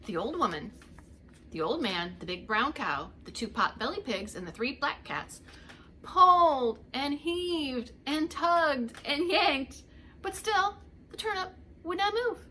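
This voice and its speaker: A woman's voice: high-pitched, expressive, measured pace, pushed and bright, slight background noise. A woman reading a story aloud to young children like a preschool teacher, theatrically drawing out the action words and talking to her listeners.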